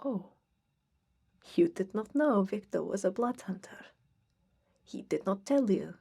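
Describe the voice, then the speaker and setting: Woman acting stern, breathy, slow-speaking vampire examiner. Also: medium pitch, animated, mellow, pitched below the performer's own voice, full character voice. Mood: cool, knowing. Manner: curt, imperious, deliberate. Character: stern, haughty vampire examiner of aspiring blood hunters. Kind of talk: ASMR roleplay acting.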